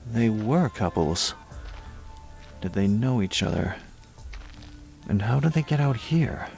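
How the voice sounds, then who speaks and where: A man's voice: lowish voice, expressive, slow pace, creaky, darkish tone, faint music behind, full character voice. A man playing a terse, brooding police detective in a horror audio drama. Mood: brooding, puzzled. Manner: terse, clipped, brooding sentences. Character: puzzled, brisk male police detective in charge.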